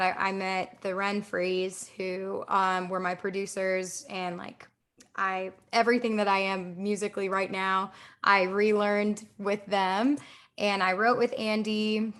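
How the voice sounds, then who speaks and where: Young female singer-songwriter, from Texas, medium pitch, casual chatty storytelling, interview guest. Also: a bit bright. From Houston, Texas, United States. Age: young adult.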